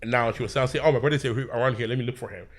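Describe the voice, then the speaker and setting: A man's voice: medium pitch, very fast. A man in his thirties, a podcast co-host, telling a story among friends and acting out the dialogue. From Nigeria. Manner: animated, acts out quoted dialogue.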